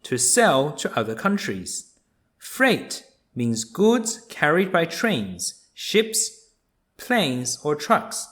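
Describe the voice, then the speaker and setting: A man reading a children's nonfiction book aloud, explaining things simply and deliberately for young listeners. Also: a little high, big pitch swings, a bit bright.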